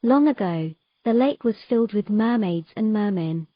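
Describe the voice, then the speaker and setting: A woman's voice: mid-range voice, lively intonation, normal speed, no room sound, highs cut off, natural voice. A woman playing a troubled, earnest young mermaid in a romantic fantasy audio drama. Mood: wistful storytelling.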